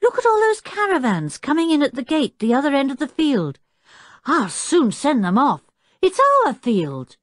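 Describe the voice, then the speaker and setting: A woman's voice: high voice, very animated, moderate pace, dry recording, clean studio sound, voice pushed up. A woman voicing a headstrong young boy in a children's storybook, blunt and self-important. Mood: indignant.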